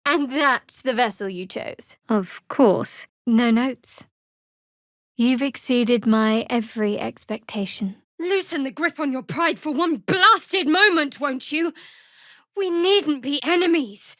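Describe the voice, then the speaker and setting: Guarded, sardonic young cleric, higher-pitched. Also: female voice, animated, fairly bright tone, no room sound, full character voice. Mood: dry, sardonic, then urgent. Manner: dry, sardonic, measured delivery. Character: guarded, sardonic young female cleric adventurer of dark goddess. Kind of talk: fantasy video game voice acting.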